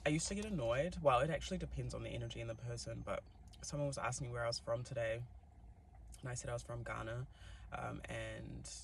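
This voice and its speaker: NZ-accented man, chatty tarot reader, gravelly tone, faint background noise. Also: medium pitch, quick pace. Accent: New Zealand. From New Zealand. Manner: chatty and giggly, many fillers. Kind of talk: casual tarot reading to camera.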